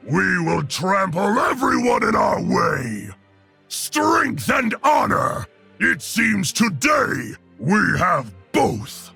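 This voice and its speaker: An actor playing a fierce, honorable orc warrior in a video game, declaiming solemnly in a rough, gravelly voice. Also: male voice, high voice, huge pitch swings, very slow, sharp tone, faint music behind, exaggerated voice. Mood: battle-hungry. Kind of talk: video game character voice lines.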